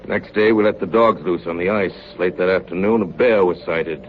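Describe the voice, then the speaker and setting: An actor playing a ship's chief engineer, gravely narrating a doomed Arctic expedition in a 1930s radio drama. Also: male voice, deep voice, moderate pace, lightly acted. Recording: muffled, noisy 1938 radio broadcast recording.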